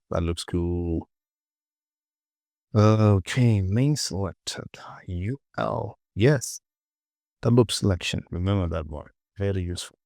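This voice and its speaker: Low gravelly guy walking thru a software tutorial, really unhurried, short little instructions, talks to himself. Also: dry recording, studio quality. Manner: short clipped instructions, self-questioning asides, repeats phrases. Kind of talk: casual step-by-step software tutorial narration.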